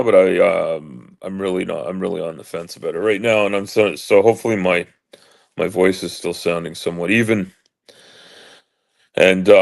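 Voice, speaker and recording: A man in his late forties with a deep booming voice, talking haltingly with many fillers while in pain and trying to keep his voice even. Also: gravelly. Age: 40s. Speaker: vlogger. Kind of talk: casual monologue.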